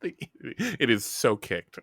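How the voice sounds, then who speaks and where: Bright, very animated tabletop game player. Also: medium pitch, extremely slow, breathy edge, dry recording. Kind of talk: casual improvised tabletop roleplay.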